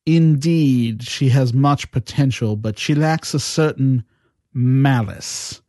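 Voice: mid-range voice, slow, warm tone, no room sound. A performer acting a jaded, impatient villainous interviewer with a curt, dry, formal manner in an amateur audio drama. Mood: measured.

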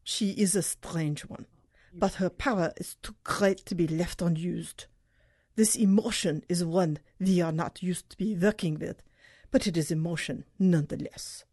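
A woman acting a calculating yet kindly-seeming mentor, with a husky voice and stilted, oddly phrased formal speech, in an amateur audio drama. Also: on the low side, unhurried, darkish tone, no room sound, studio quality. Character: kindly-seeming, calculating Sith mentor, quaintly foreign-sounding.

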